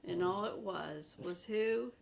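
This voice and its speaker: An older woman reading a children's book aloud, chatting to young listeners in simple, gentle phrases. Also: lowish voice, slow pace, creaky, slight background noise. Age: older, a grandmother.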